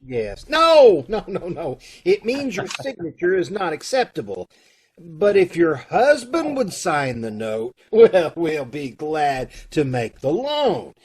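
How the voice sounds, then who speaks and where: A man's voice: fairly high pitch, big pitch swings, takes it slowly, darkish tone, full character voice. A man playing a fussy bank loan officer.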